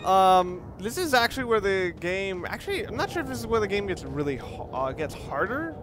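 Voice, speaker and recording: Rambling higher-pitched man, gaming over loud music. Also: very animated, a little fast. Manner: rambling, self-correcting. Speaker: let's play gamer. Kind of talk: casual gameplay commentary. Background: loud video game music and sound.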